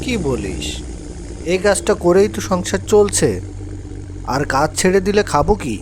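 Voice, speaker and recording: Man acting a higher-voiced, practical lorry driver. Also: very animated, moderate pace. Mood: concerned, loyal. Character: loyal, practical male lorry driver, sceptical friend. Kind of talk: cartoon voice acting. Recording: faint engine noise behind.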